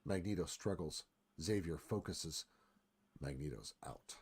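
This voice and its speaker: A man's voice: sits low, animated, unhurried, darkish tone, breathy edge. A man reading dramatic scripted narration as screenplay narrator, in clipped phrases.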